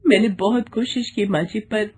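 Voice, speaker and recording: A woman's voice: mid-range voice, moderate pace, a little mellow, slight background noise, pitched above the performer's own voice, close to an everyday voice. A woman voicing a sad, dutiful young daughter-in-law, hesitant and submissive, in a moral story. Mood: despondent.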